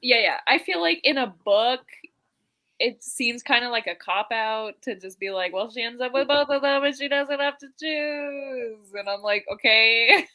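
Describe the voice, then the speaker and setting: Young female co-host, bright forceful voice on the high side, jokey casual book chat. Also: expressive, measured pace. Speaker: co-host of a book readalong live show.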